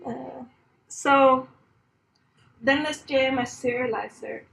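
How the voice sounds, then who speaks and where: A woman's voice: high voice, fairly even intonation, slow, vocal fry, fairly bright tone, poor audio. A woman in her thirties from Sweden with a Swedish accent, giving a chatty, self-deprecating conference talk with frequent laughs. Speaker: conference speaker. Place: conference room on a stage.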